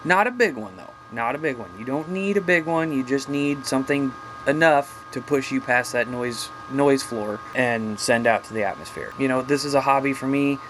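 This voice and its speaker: A man's voice: medium pitch, normal speed, a bit bright. Plain-spoken man, noisy background. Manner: plain-spoken, folksy, mildly salty. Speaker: hobbyist video host. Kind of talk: casual unscripted show-and-tell vlog.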